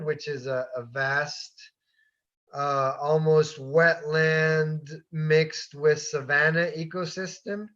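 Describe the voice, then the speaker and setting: A man in his forties from Colombia, presenting slides about his bird tour business, talking haltingly with many fillers and repeated words. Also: fairly high pitch, fairly bright tone. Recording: dull lo-fi sound.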